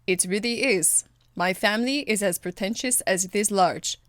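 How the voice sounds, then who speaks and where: A woman's voice: mid-range voice, normal speed, dry recording, lightly acted. A woman voicing a stern, proper female warrior in a video game, speaking formally with a dry, weary exasperation. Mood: deadpan. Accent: foreign, European-tinged. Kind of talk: video game character acting.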